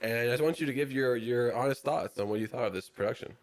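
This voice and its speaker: A man's voice: mid-range voice, fast. A man hosting a casual podcast chat, loose and profane, often breaking into laughter. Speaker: podcast host.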